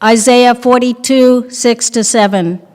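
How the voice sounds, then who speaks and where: An older woman doing a solemn, deliberate scripted reading from a lectern. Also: a little high, steady tone, darkish tone. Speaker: reader in readers theater. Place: large temple hall, at lectern. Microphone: microphone on stand. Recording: echoey hall.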